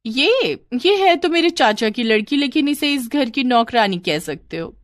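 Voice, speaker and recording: A woman's voice: high voice, animated, a little slow, dry recording, voice pushed up. A woman voicing a spoiled, haughty young woman in a story, bossy and disdainful. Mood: dismissive. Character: spoiled, haughty young woman, scornful of her poor cousin.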